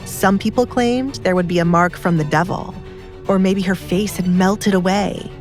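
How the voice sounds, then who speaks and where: A woman's voice: medium pitch, fairly even intonation, moderate pace. A woman reading scripted story narration as an audiobook narrator. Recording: music behind the voice.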